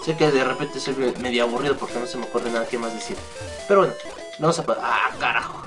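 A man's voice: medium pitch, quick pace. Hesitant young-sounding Mexican male gamer, slightly sick. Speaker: let's play gamer. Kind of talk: casual gameplay commentary. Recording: game music behind the voice. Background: video game music.

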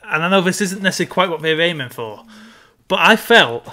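A man in his thirties co-hosting a casual film chat from home on a headset video call, measured and opinionated. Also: high-pitched, animated, pushed and bright. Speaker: podcast co-host.

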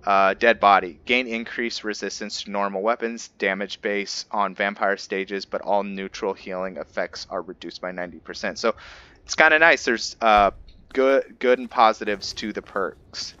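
A man giving casual, halting, explanatory commentary as he hosts a gameplay video. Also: on the low side, steady tone, sharp tone, clear, resonant tone, dry recording, highs cut off. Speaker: gaming video host. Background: video game ambience.

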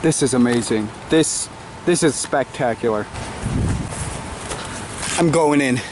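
Young guy doing deadpan fake nature show in his backyard, talks slow, kinda noisy camera audio. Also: mid-range voice. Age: young adult. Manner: halting, deadpan mock-reverent delivery. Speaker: host of a comedy cooking show. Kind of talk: mock nature-documentary vlog to camera. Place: outdoors in a suburban backyard. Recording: noisy outdoor camera audio, background hiss.

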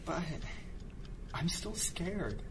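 A man's voice: sits high, big pitch swings, drawn-out words, gravelly, noisy background, exaggerated voice. A young man playing a nervous, hesitant son in an audio drama. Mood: anxious.

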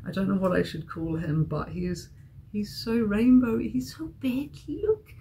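Giggly, hungover woman with creaky voice. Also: medium pitch, moderate pace, a little hiss or hum. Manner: excitable, giggly, rambling. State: sore throat, hungover. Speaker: vlogger. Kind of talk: casual vlog chat. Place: hotel room.

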